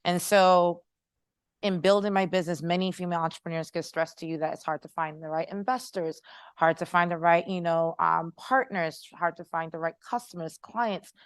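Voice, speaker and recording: A woman's voice: on the low side, steady tone, normal speed, clean tone, no echo. Passionate American woman on a panel. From Haitian American. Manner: passionate, persuasive, audience-engaging. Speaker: panelist, startup founder. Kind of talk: panel discussion answer. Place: conference room with audience.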